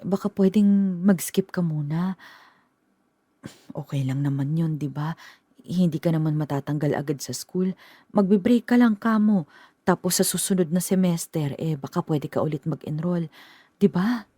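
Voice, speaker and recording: Soft-spoken worried mother. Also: deep voice, breathy edge, no echo. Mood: hesitant. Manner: hesitant, halting, gentle. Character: worried middle-aged mother. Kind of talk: radio drama acting.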